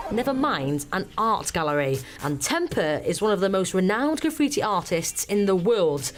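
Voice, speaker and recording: A woman presenting a television magazine show, reading scripted links in a bright, upbeat way. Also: mid-range voice. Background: music bed.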